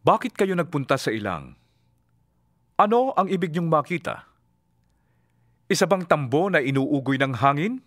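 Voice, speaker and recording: Fervent preacher, high forceful voice, solemn, authoritative, declamatory, scripture audio drama. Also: male voice, big pitch swings, no room sound, clean studio sound. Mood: solemn, challenging. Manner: declamatory, deliberate.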